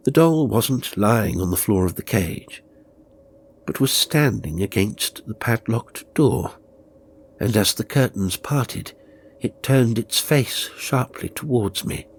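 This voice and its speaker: A man narrating a horror story as an audiobook reader, in a deliberate, suspenseful and theatrical way. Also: on the low side, expressive, breathy edge. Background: faint music.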